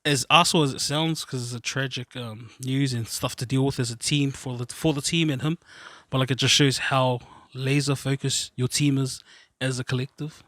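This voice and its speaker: A man's voice: mid-range voice, fairly even intonation, normal speed, hardly any pauses, a bit bright, dry recording. Cheeky New man, dry sound. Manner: slangy, cheeky. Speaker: podcast co-host. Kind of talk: casual sports banter.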